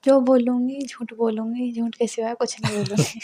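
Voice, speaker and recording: A woman's voice: on the high side, fairly even intonation, normal speed, mellow. A young woman, chatting intimately and playfully on a casual phone call. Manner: playful, bantering.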